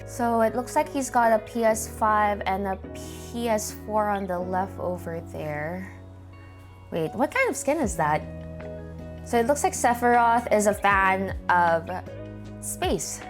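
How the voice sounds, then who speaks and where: A woman's voice: mid-range voice, animated, unhurried, soft background music. A woman with a Filipino accent, hosting and chatting casually as she looks over viewers' setups. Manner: chatty, upbeat, thinks aloud. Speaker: host reviewing viewer gaming setups. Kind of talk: casual commentary.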